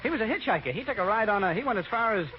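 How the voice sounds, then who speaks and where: Flustered, rambling radio comic, muffled. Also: male voice, high-pitched, animated, racing. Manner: stammering, rambling, giggly, abandons sentences. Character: flustered comic monologist who never finishes sentences. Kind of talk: 1940s radio comedy monologue. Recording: very noisy, muffled 1940s radio broadcast.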